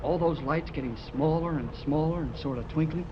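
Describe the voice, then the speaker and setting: An actor playing an eager, excitable teenage boy who gushes in slangy exclamations, in 1930s radio serial style. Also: a little high, fairly quick, lightly acted. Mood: excited. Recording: dull, noisy 1930s radio transcription.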